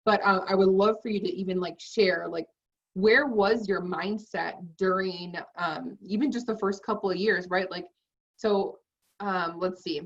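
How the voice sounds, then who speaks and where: A woman's voice: medium pitch, fairly even intonation, measured pace. A woman hosting an interview, asking encouraging, rambling questions with many fillers. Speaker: podcast host. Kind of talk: casual interview. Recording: distant, muffled mic.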